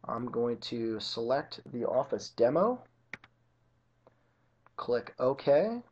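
A man narrating an informal software tutorial as an instructor, explaining each step methodically. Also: medium pitch, very animated, drawn-out words. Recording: dull lo-fi computer screen-recording audio.

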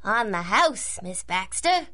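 A woman's voice: mid-range voice, big pitch swings, takes it slowly, bright, forceful tone, heavily acted. A woman playing an eager, sweet young newsboy hawking papers in a 1930s-style radio drama. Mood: bashful.

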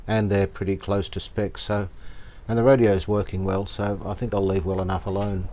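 An older man calmly talking through a restoration job outdoors, thinking aloud as he works. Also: deep voice. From Australia. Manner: thinking aloud, calm and unhurried, plain-spoken with fillers. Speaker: radio restorer, channel host. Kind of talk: casual hands-on workshop narration. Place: outdoor verandah in the garden.

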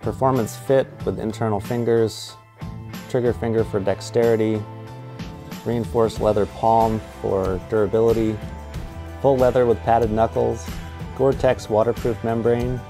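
Give me a man with a gravelly voice tersely listing mitt features over guitar music. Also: fairly low pitch, level tone, measured pace, warm tone. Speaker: product designer. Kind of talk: voice-over product pitch.

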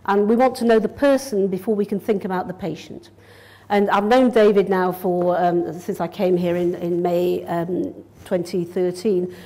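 A woman's voice: on the low side, fairly even intonation, normal speed, warm tone. A middle-aged woman from Yorkshire, a kidney doctor, giving a public lecture in a direct, organised, conversational way at a lectern mic. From Yorkshire, England. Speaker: consultant nephrologist. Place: lecture room, indoors.

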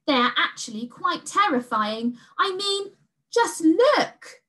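Young girl, plucky and persuasive, high lively voice; woman reads her. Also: slow, full character voice. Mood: insistent. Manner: bright, bossy, persuasive. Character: quick-thinking brave young girl.

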